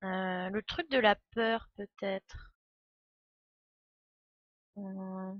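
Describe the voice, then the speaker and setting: A woman's voice: medium pitch, little pitch movement, drawn-out words, no room sound, lo-fi sound. A woman streamer giving casual live game commentary, thinking aloud in a hesitant way and correcting herself. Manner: hesitant, musing, self-correcting. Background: soft game music.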